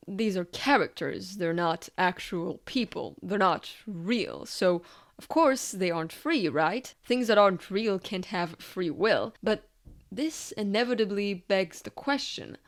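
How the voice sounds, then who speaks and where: A woman's voice: medium pitch, expressive, slow pace. A woman narrating a scripted video essay in a conversational, explanatory way with casual asides.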